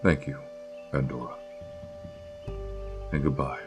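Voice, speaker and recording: A man acting in a fantasy audio drama as a gentle, melancholy guardian of a flower field, speaking in measured, halting phrases. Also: very deep voice, fairly even intonation, over music. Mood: tender, grateful.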